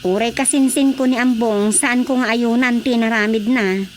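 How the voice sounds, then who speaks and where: A woman's voice: a little high, fairly even intonation, moderate pace, slight background noise, natural voice. A woman playing an earnest, sympathetic and indignant cousin in a radio drama. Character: concerned, indignant female cousin, sympathetic confidante.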